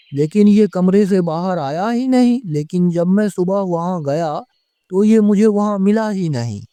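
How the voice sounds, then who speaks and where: A man acts out a fretful village politician in a horror cartoon, high in pitch and soft-edged in tone. He exclaims and pleads in homely speech, captured dry and close. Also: clean tone, dry recording. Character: worried village politician protecting his servant, excitable male. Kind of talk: animated horror story dialogue.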